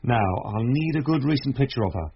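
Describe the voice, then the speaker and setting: An actor playing a calm, methodical British detective inspector in a radio drama, speaking with measured, matter-of-fact authority. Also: male voice, medium pitch, gravelly, slight background noise. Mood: brisk, commanding. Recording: dull, lo-fi old radio recording.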